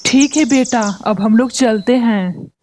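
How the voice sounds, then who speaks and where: Cartoon crow dad, plotting and curt, voiced by a woman, highish voice. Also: measured pace, darkish tone, a little hiss or hum, lightly acted. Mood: coaxing. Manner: gruff, dismissive, plotting. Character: scheming male crow, husband and father. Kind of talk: Hindi cartoon voice acting.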